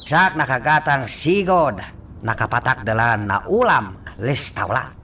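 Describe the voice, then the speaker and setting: A man's voice: medium pitch, animated, unhurried, pushed and bright, recorded from a distance, a little hiss or hum. A man acting as a scheming, menacing businessman in an Ilocano radio drama. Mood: calm, scheming.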